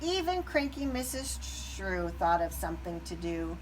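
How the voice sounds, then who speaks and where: A woman reads a children's storybook aloud at an unhurried pace. Her pitch moves expressively up and down, and there is some noise in the background. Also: recorded from a distance, rough recording. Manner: expressive, deliberate phrasing. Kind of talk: scripted children's story narration.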